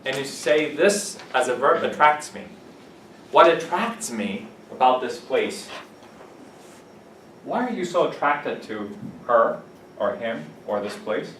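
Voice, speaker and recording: Male ESL teacher, lively and joking with students, miked from across an echoey classroom. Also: mid-range voice, takes it slowly, long pauses, slight background noise. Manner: playful, laughs often, gives example sentences. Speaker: English teacher. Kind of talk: classroom vocabulary lesson. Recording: echoey classroom, camera from a distance.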